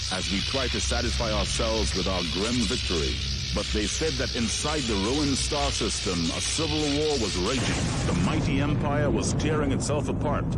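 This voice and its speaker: An actor playing a weary, solemn veteran of a space war, narrating game cutscenes in a grave, measured, reflective way. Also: male voice, mid-range voice, close to an everyday voice. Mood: somber. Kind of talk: dramatic scripted narration. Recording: music behind the voice. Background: prominent music.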